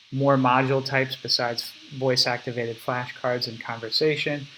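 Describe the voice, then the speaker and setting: Young man, bright crisp voice, casual product walkthrough narration for a tutorial. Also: medium pitch, slight background noise, low-quality recording. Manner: casual, step-by-step explaining. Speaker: app developer demonstrating his product. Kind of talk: screen-recorded software walkthrough.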